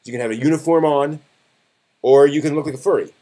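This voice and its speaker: A man hosting a live stream, giving news commentary in a casual, conversational and somewhat halting way, often correcting himself. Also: fairly high pitch, warm tone.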